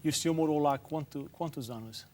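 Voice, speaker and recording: A man's voice: a little high, brisk, darkish tone. A man, a pastor, hosting a television interview and steering it with friendly questions.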